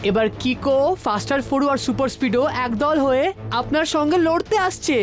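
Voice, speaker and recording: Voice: high voice, a little fast, faint music behind, lightly acted. A performer voicing an anxious, deferential villain's henchman hurriedly reporting in a children's cartoon. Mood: alarmed.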